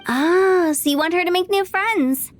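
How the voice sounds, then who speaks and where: A woman's voice: extremely high pitch, a little slow, soft background music. A woman voicing a playful, inquisitive teenage girl in a video game, with a teasing, theatrical manner. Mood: probing, playful.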